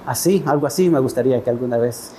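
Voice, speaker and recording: Salvadoran male writer, soft mellow tone, reflective and halting interview answers, faint ambient noise. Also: mid-range voice. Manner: thoughtful, hesitant, often seeking agreement with tag questions. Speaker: interview guest, poet and writer.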